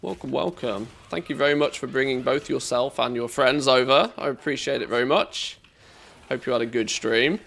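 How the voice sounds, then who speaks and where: A man with an English accent giving relaxed, chatty commentary on a live game stream, reacting to play and greeting viewers. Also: mid-range voice, normal speed, vocal fry. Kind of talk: casual live-stream commentary while gaming.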